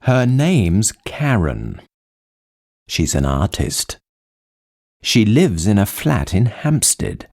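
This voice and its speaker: A man reading lines of English course dialogues in an expressive, sing-song way. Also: on the low side, slow, long pauses. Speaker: dialogue reader in a language course. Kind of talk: slow, clearly read textbook dialogue.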